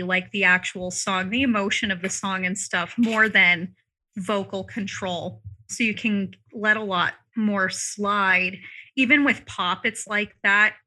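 A woman talking casually on a podcast about singing, often saying "like" and "um," her throat a little dry and in need of clearing. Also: medium pitch, pushed and bright. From Arizona, US. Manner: chatty, many fillers like "like" and "um". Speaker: vocal coach guest.

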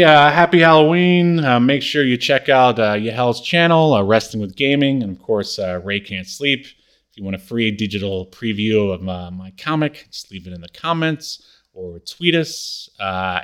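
A man's voice: medium pitch, fairly bright tone, clear, resonant tone. A man hosting a podcast in a casual, chatty style. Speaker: podcast host. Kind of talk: casual chat.

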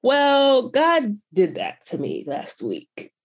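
A woman's voice: high-pitched, wildly swooping pitch, slow pace. A woman hosting a podcast, chatting casually in slangy, laughing storytelling while getting over covid. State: recovering from covid. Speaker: podcast host. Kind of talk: casual chat.

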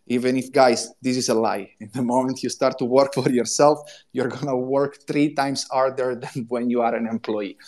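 A man's voice: medium pitch, darkish tone. A man in his thirties with an Italian accent, talking enthusiastically as a guest on a live audio room show and explaining his work step by step. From Italy. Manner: enthusiastic, explains step by step in non-native English. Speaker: guest, crypto consultant.

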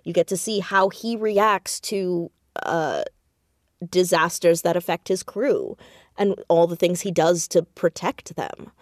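A woman talking casually as an interview guest about her writing, with animated, self-deprecating storytelling and emphatic stresses on words. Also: on the low side, slow, dry recording, clean studio sound. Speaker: podcast guest, audio drama writer and creator.

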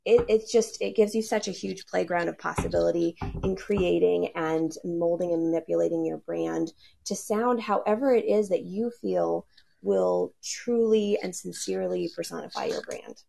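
Woman, gravelly voice, lowish pitch, enthusiastic, rambling, video call panel talk. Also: lively intonation, normal speed, dark tone. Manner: enthusiastic, rambling with fillers and laughs. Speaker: sonic branding consultant.

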